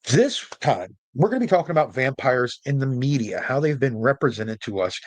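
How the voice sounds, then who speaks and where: A man's voice: mid-range voice, expressive, a little fast, no room sound. A man hosting a storytelling show in a casual, chatty way, with jokey, rambling asides. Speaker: host and storyteller. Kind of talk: casual explainer monologue.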